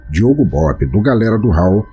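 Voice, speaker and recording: A Brazilian man delivers a scripted podcast intro in a grandiloquent, playfully surreal style. His voice is low and dark-toned, swinging widely in melody. Ambient noise is clearly audible behind him. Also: big pitch swings, moderate pace. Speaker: podcast host.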